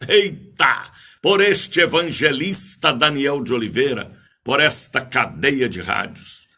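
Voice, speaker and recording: Older guy from preaching on radio, really bright punchy voice, slow declamatory delivery, repeats himself. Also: medium pitch, animated, breathy edge. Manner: declamatory, repeats phrases, drawn-out names. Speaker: evangelical bishop and preacher. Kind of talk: live radio church service, greeting listeners and exhorting.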